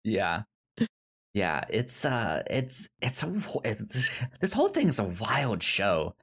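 A man co-hosting a casual TV review podcast, speaking haltingly and often restarting his words. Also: fairly low pitch, wildly swooping pitch, a bit bright, breathy edge. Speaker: podcast co-host.

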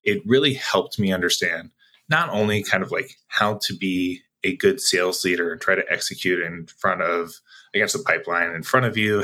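A man's voice: low-pitched, sharp tone, husky, poor audio. A man answering interview questions as a sales executive guest, talking conversationally in a reflective, explanatory way.

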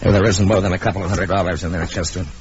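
A man plays a steady, shrewd lawman in an old radio Western. His American voice is low and commanding, speaking tersely. The recording is dull with clear background noise. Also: rapid-fire, lightly acted. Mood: watchful. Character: stern, steady, shrewd Old West marshal. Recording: muffled, noisy vintage radio recording.

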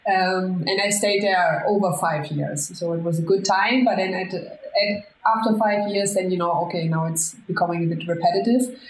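A woman's voice: lowish voice, moderate pace. A woman with a German accent answering casual interview questions in a conversational way. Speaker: interview guest, marketing manager. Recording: echoey room, faint background noise.

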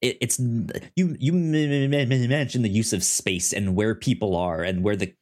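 A man co-hosting a casual film discussion, speaking haltingly with frequent stammers and repeated words, sometimes animated. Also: fairly low pitch. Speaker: podcast co-host.

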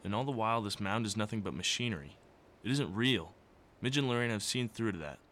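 A man's voice: on the low side, animated, fairly quick, fairly bright tone. A man playing a wry young brother in an audio drama, with a casual, sardonic delivery. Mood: defiant. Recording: recording with faint background noise.

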